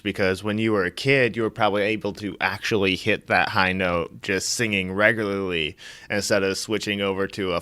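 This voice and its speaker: A man hosting a podcast, asking questions and adding encouraging thoughts in a relaxed way. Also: on the low side, dry recording. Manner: easygoing, affirming interviewer. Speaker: podcast host. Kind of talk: casual podcast interview.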